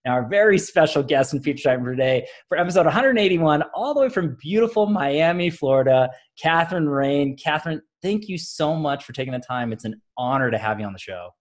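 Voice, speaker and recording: A man's voice: a little high, animated, fairly quick, few pauses. A man hosting a live real estate interview show, effusive and full of praise, often murmuring agreement while his guest talks. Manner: effusive, repeats praise, frequent hmm and wow. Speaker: podcast host.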